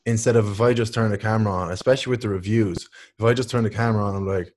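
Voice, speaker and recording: A man's voice: on the low side, steady tone, racing, darkish tone. A young man co-hosting a podcast, rambling and joking with a lot of swearing in loose banter. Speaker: podcast co-host. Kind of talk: casual chat.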